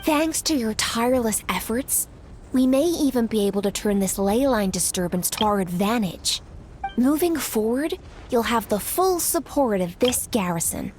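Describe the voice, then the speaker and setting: A woman's voice: fairly high pitch, lively intonation, moderate pace, darkish tone, soft background music, close to an everyday voice. A woman playing a composed, encouraging military officer in a video game, speaking formally and articulately. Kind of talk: video game dialogue acting.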